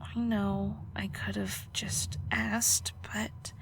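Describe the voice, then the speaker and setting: A woman playing a prickly, guarded but secretly caring alchemist in an audio roleplay, halting and flustered, teasing and defensive. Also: mid-range voice, flat delivery, vocal fry, in a whisper. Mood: shy confession. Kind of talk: audio roleplay acting, intimate one-sided dialogue.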